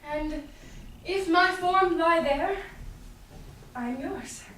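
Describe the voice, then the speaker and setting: Woman as high-voiced noblewoman, echoing room. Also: extremely slow, a bit bright, heavily acted. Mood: poised, submissive. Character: composed young noblewoman heiress courted by suitors. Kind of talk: Shakespearean staged reading. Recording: very echoey, distant mic, faint noise.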